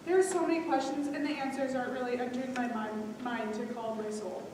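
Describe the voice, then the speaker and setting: High-voiced woman as anxious teacher, echoing. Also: steady tone, brisk, a little mellow, close to an everyday voice. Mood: overwhelmed. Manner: self-questioning, earnest. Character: overwhelmed, anxious female teacher. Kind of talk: dramatic stage monologue. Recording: very echoey hall, distant mic, faint noise.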